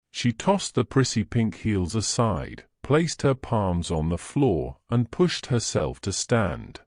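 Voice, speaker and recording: A man's voice: lowish voice, darkish tone, no room sound, studio quality. A man narrating a scripted fantasy story as its storyteller.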